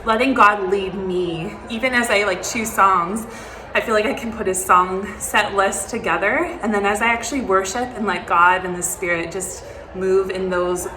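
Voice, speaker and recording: A woman worship leader answering interview questions in a thoughtful, pausing way. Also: medium pitch, steady tone, sharp tone, reverberant, a little hiss or hum, rough recording. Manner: thoughtful, with pauses and fillers. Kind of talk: casual interview chat.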